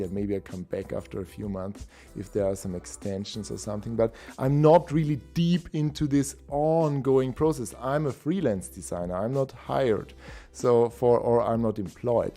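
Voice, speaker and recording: A man hosting a casual live stream, a bit hoarse from being unwell, chatty and enthusiastic. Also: fairly low pitch, mellow. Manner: enthusiastic, chatty, with laughs and restarts. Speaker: host of a typography livestream.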